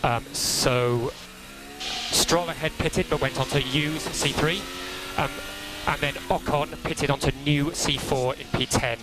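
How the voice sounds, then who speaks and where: A man working as a race engineer, giving calm, procedural briefings over team radio and repeating key instructions in a measured way. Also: a little high, over music. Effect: team radio transmission. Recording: crackly noisy motor racing team radio.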